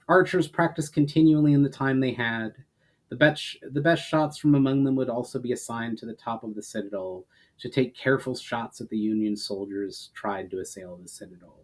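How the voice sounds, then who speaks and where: Male narrator for epic fantasy audiobook, medium-pitched, dramatic delivery with the odd stumble. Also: darkish tone, rough recording. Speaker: author and narrator reading his fantasy novel aloud.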